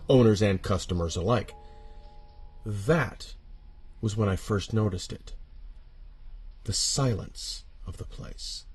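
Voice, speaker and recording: A man with a husky voice narrating a first-person horror story, dramatic and suspenseful, with deliberate pauses. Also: fairly low pitch, darkish tone.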